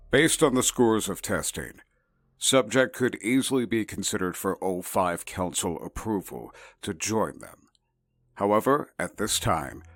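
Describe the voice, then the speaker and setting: A man playing an officious narrator reading classified research files in an audio drama, clipped, formal and deadpan. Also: low voice, dry recording, full character voice.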